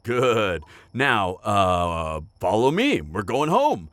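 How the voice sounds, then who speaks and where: A man playing a chatty, pragmatic necromancer in an audio roleplay, talking casually and a little haltingly straight to the listener. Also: lowish voice, very animated, a bit bright, dry recording, exaggerated voice. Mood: businesslike, eager. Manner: casual, hesitant asides, frequent chuckling. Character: chatty, upbeat, pragmatic male necromancer, former tailor. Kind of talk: conversational roleplay addressed to the listener.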